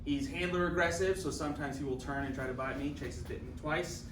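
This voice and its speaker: Friendly police officer talking to a class. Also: male voice, on the high side, steady tone, fast, distant mic. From Chicago area. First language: Spanish. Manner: casual and friendly, with many fillers. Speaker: police K-9 handler, guest speaker. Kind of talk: classroom talk. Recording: echoey room, from afar, noisy.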